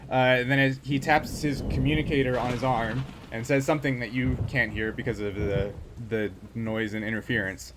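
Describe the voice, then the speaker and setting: A man casually narrating a tabletop role-playing game as game master, improvising haltingly as he goes. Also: medium pitch, steady tone, noisy background.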